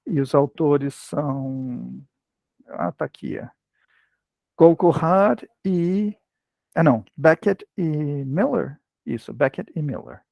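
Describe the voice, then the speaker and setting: Creaky-voiced man explaining teaching methods. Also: fairly high pitch, lively intonation, slow pace, darkish tone, no room sound. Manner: hesitant, restarts often, conversational. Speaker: language teaching lecturer. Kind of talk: academic talk, casual explanation.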